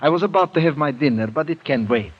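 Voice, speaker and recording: Courtly, husky aristocratic general. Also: male voice, on the high side, animated. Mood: gracious. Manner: formal, measured, gracious. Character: courtly aristocratic male general, suave, coolly commanding host. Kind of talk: old-time radio drama acting. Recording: dull, muffled vintage radio recording.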